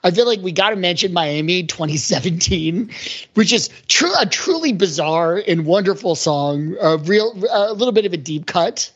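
A man's voice: high-pitched, animated, moderate pace. A man talking casually as a podcast panelist about pop culture, in a wordy, rambling, analytical way. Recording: dull lo-fi audio.

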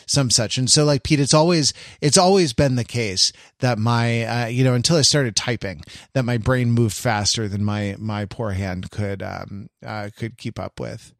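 Male co-host, casual and halting, tends to trail off. Medium pitch, very dry sound. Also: gravelly, dry recording. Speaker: podcast co-host. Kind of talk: casual chat.

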